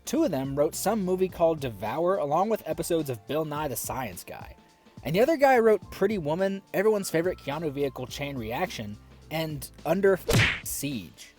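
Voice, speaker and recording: A man's voice: on the high side, big pitch swings, moderate pace, darkish tone. Male reviewer, scripted commentary, dry sarcastic asides, bouncy intonation, faint music bed. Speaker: film reviewer.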